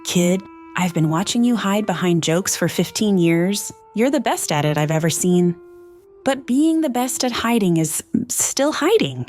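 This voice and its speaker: A woman narrator plays an older, retired male comedian mentoring a protégée. He is blunt, wry and knowing, giving unhurried advice in a storybook telling, with music underneath. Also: lowish voice, expressive, a little mellow. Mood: knowing, firm.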